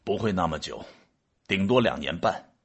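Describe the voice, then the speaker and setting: A man playing a calm, authoritative chief scientist in a radio drama, measured and official in manner. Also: sits low, husky, full character voice. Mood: calm, justifying.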